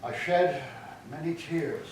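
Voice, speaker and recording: A sorrowful Greek herald, played by a man, speaks in a fairly high voice, measured and formal. It is a stage tragedy heard from a distance in a very echoey space. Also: a little mellow. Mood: solemn, sorrowful. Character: sorrowful male Greek herald bringing grim news. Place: church, staged reading. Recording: very echoey hall, distant mic, some noise.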